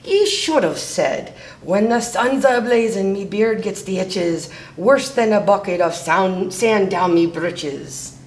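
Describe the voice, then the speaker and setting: A woman's voice: mid-range voice, takes it slowly, few pauses, a little mellow, roomy sound, natural voice. A woman voicing a short-tempered, demanding male pirate captain from a children's storybook, bellowing in pirate talk. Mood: scolding.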